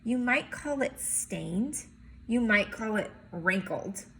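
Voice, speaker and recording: A woman reading a storybook aloud in an expressive, emphatic way, with chatty asides as if to young children. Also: medium pitch, slow pace. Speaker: teacher or reader reading a picture book aloud.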